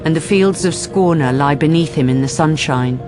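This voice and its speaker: A woman narrating a crime story for a radio drama in measured, grave, scripted present tense. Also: sits low, music in the background, close to an everyday voice. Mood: calm.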